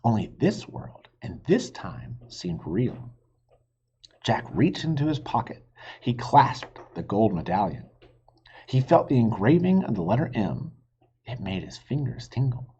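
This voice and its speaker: Slow, husky man reading a children's story. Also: mid-range voice, big pitch swings. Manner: gentle, talks to young listeners. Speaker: reader for children. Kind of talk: reading a children's book aloud. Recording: dull lo-fi recording.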